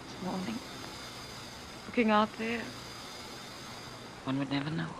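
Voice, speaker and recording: Fearful runaway wife, noisy old radio. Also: female voice, mid-range voice, expressive, a little slow. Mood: weary, brooding. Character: fearful, guilt-stricken runaway wife, weary and fatalistic. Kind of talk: old-time radio drama acting. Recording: noisy vintage radio drama broadcast.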